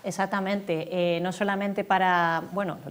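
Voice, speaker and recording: A woman's voice: mid-range voice, level tone, a little slow. A woman from Galicia, an author being interviewed in a TV studio, talking with many fillers and restarts. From Galicia, Spain. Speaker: author guest.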